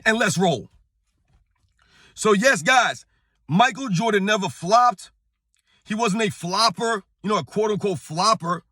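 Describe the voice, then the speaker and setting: Hoarse, bright-voiced man ranting about sports. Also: sits high, lively intonation, a little slow, long pauses, no room sound, studio quality. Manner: emphatic, repetitive, addresses viewers as guys. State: hoarse, losing his voice. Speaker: sports channel host. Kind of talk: casual talking-head rant. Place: on vacation at a beach.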